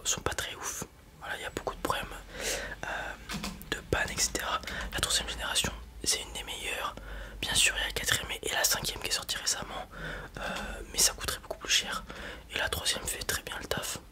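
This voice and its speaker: A person doing a softly whispered, hesitant and unhurried ASMR roleplay as a salesperson. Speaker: ASMR roleplayer acting as a wandering space robot salesperson.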